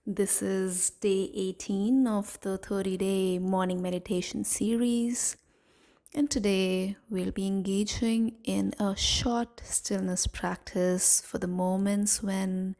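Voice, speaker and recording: A woman leading a guided meditation in a soothing, gentle manner, leaving long pauses between short phrases. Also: medium pitch, vocal fry. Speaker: meditation guide and series host.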